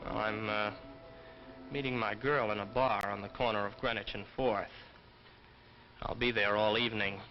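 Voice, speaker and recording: An actor playing a cocky, greedy, hard-drinking schemer with brash, slangy talk in a 1950s television drama. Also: male voice, lowish voice, fairly even intonation, normal speed, long pauses, lightly acted. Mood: smug, hesitant. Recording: dull vintage 1950s broadcast, reverberant, loud music.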